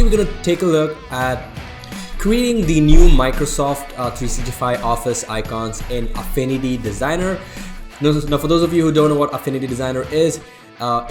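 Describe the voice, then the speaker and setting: A man with an Indian accent hosts a software tutorial in a mid-pitched voice. He talks casually and informally, walking viewers through each step as a designer showing his screen. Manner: informal, addresses viewers as guys, explains step by step.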